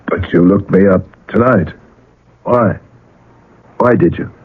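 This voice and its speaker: A weary, brooding painter speaks in a very deep, husky voice. His phrases come haltingly, with a desperate edge. It is 1970s radio drama with a thin, band-limited old broadcast sound. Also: narrow, tinny audio, heavily acted. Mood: agitated, suspicious. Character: weary, desperate male painter, middle-aged or older, brooding.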